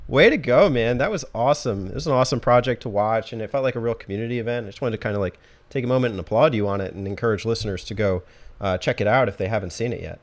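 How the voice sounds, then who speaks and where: A man's voice: on the low side, rapid-fire. A man hosting a podcast, chatting casually with his guest. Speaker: podcast host. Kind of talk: casual interview chat.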